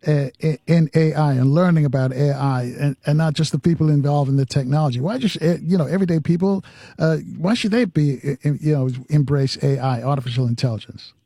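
A man hosting a live radio talk show, speaking in a halting way and repeating words as he goes. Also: a little high, warm tone, dry recording, studio quality. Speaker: radio host. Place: radio studio.

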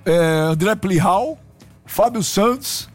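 This is a Brazilian man hosting sports banter in a high voice that leaps around a lot. He is boisterous and teasing, full of slang, with faint music running behind him. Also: expressive, slow, slightly rough. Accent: Brazilian Portuguese. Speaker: host of a football talk show.

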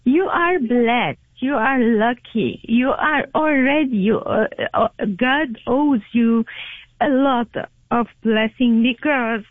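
Woman caller, french accent, native french speaker, slow halting speech, high voice, tinny phone line. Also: no room sound. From immigrant to Canada. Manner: earnest, halting, many repetitions and restarts. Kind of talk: phone-in call.

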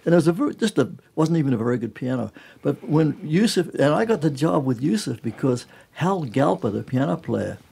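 A man's voice: a little high, sing-song, a little fast, creaky, hardly any pauses, dark tone, slightly rough. An older man from New Zealand, a jazz musician chatting as a studio guest in an emphatic, colloquial way, often breaking off and restarting his sentences. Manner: emphatic, colloquial, self-interrupting. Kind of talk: casual conversational interview, telling anecdotes.

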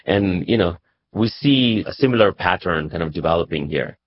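A man with a very low, somewhat husky voice talks as a journalist being interviewed. He is from Nepal and explains his views reflectively, often adding "right?" and "you know". The recording is a little dull and muffled. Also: animated, moderate pace, no room sound. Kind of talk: conversational interview commentary.

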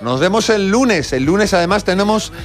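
A man's voice: sits high, lively intonation, normal speed, faint music behind. A man with a Spanish accent hosting a casual radio chat, encouraging his guests and interjecting as they talk. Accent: Spanish from Spain. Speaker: radio show host. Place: radio studio.

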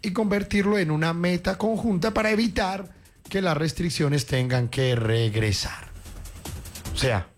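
Colombian radio host, higher-pitched male voice. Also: a little slow. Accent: Colombian, Paisa. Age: 50s. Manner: conversational, colloquial with diminutives. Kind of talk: reading news with informal chat. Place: radio studio. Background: music under the voice.

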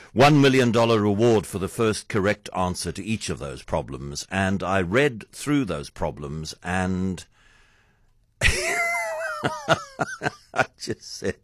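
A man's voice: low voice, no room sound. A man with a South African accent hosting a radio talk show, chatting playfully and chuckling often. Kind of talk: casual radio chat. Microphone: studio microphone.

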